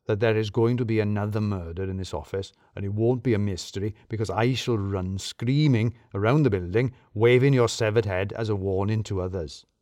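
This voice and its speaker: Guy doing deadpan sarcastic coworker, slow and menacing, super dry recording. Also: lowish voice, no room sound, lightly acted. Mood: deadpan threat. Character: sardonic, menacing, data-hating office colleague.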